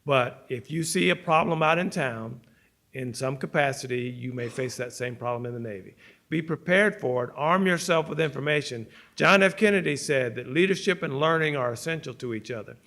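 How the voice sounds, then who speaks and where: A man's voice: on the high side, a bit bright. Earnest man giving advice. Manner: earnest, exhorting. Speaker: forum panelist. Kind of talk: advice speech to young listeners.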